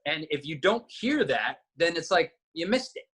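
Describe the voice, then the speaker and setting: Breathy, bright-voiced male singer. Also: a little high, big pitch swings, normal speed. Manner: rambling, self-correcting reminiscence. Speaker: singer-songwriter. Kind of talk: casual conversation.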